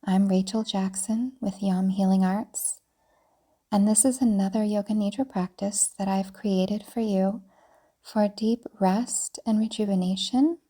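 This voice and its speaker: A woman yoga teacher narrating a guided meditation in a soft, breathy, soothing way, deliberately, with pauses. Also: medium pitch, fairly even intonation. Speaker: yoga nidra teacher guiding a practice.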